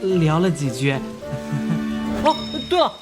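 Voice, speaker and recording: A woman playing a cheerful, bumbling young police rookie in an animated crime drama, sheepish, eager and chatty. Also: sits low, takes it slowly, loud music behind the voice, heavily acted.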